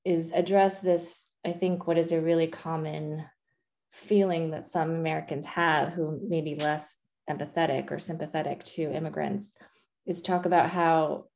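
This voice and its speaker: Low-pitched woman host on zoom, asks long careful questions, some ums. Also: fairly even intonation, moderate pace, vocal fry, darkish tone. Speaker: library event host. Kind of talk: video call interview. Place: home room. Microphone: video call.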